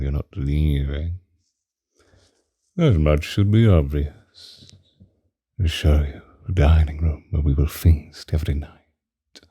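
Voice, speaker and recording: Man playing a very deep, seductive vampire. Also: warm tone, dry recording, exaggerated voice. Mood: tender, possessive. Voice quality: breathy, husky deep murmur. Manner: languid, archaic, theatrical, with lingering pauses. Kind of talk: ASMR roleplay, whispered close to the ear. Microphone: close microphone, dry.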